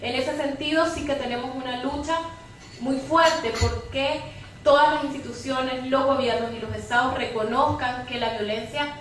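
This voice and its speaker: A woman explains her views as a panelist in a fairly high voice, often adding tag questions. The sound is very reverberant and away from the mic, with faint noise. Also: fairly even intonation, normal speed, a bit bright. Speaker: panelist from a women's rights organization. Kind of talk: spontaneous panel commentary. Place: echoey room at a public debate. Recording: very echoey room, distant mic, faint noise.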